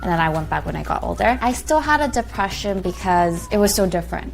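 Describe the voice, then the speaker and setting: A woman from California speaking calmly and reflectively on a casual panel. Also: medium pitch, quick pace, vocal fry. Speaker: panel guest. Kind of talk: casual group chat. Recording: music bed under the talk.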